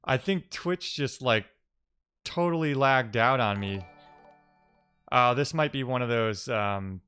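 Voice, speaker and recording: A man's voice: mid-range voice, takes it slowly, bright, forceful tone, clean tone, soft background music. A man giving casual, think-aloud commentary as a live-coding streamer, often muttering to himself and trailing off.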